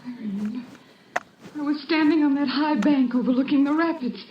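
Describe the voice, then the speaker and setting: An actress playing a dazed, frightened and guarded young woman in an old radio detective drama, speaking haltingly. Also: high-pitched, far from the mic, close to an everyday voice. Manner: halting, evasive. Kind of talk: 1940s-50s radio drama acting. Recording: muffled, roomy, noisy old radio recording.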